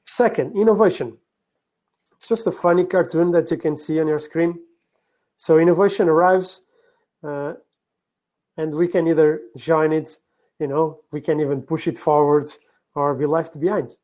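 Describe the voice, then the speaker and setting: A man from Portugal with a Portuguese accent giving a business presentation, explaining point by point in a somewhat halting way. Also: on the high side, fairly even intonation, darkish tone. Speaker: conference speaker, operational excellence professional.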